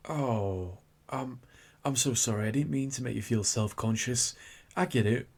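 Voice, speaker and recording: A man's voice: medium pitch, measured pace, darkish tone, husky. A man playing a suave, teasing incubus demon in a scripted ASMR roleplay, with theatrical delivery. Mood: apologetic, sympathetic. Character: seductive, cocky male incubus demon turning flustered and tender.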